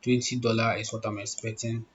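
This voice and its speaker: A man's voice: little pitch movement, brisk, a little hiss or hum. A young man gives a screen-recorded tutorial. He repeats points and keeps checking that the viewer follows along. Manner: repetitive, instructive, checks in with the listener. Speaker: online tutorial host. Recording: muffled low-fidelity audio.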